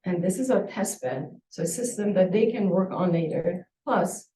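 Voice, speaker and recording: Woman teacher quizzing a student on a project, mellow voice, echoey room, kinda far from the mic. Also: fairly low pitch, moderate pace. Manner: probing, asking follow-up questions. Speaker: university instructor reviewing a student project. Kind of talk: spoken question-and-feedback session. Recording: echoey room, distant microphone.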